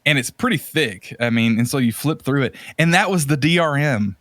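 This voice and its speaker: A man's voice: mid-range voice, lively intonation, moderate pace, a bit bright, no room sound, studio quality. A man chatting casually as a podcast host, rambling and enthusiastic about his subject. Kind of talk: casual chat about retro games and movies.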